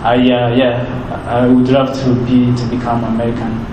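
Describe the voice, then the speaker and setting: A young man in his twenties with a Rwandan accent, a native Kinyarwanda speaker, answering interview questions earnestly and haltingly, often repeating words as he searches for them. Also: mid-range voice, completely flat delivery. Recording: roomy with background noise.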